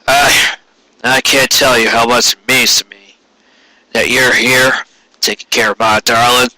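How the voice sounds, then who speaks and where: A man voicing an aging, gruff but tender male pony in a storybook, halting and earnest, with a weakened rumble. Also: steady tone, bright, forceful tone, rough recording. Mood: tender, grateful.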